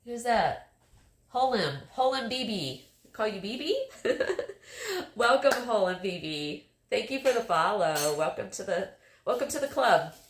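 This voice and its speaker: A woman's voice: mid-range voice, lively intonation, a little slow. A woman in her fifties chatting casually as a livestream host, rambling with many fillers. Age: 50s. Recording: echoey room with background music.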